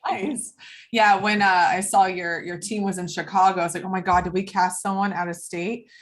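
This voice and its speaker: A woman's voice: medium pitch, fairly even intonation, moderate pace. A woman casually hosting a group video call, passing the turn from person to person. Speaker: host of a cast table read.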